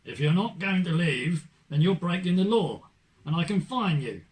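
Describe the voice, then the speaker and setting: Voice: medium pitch, lively intonation, normal speed, recorded from a distance, lightly acted, low-quality recording. A performer playing an officious, insistent police officer in a scripted puppet show. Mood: stern.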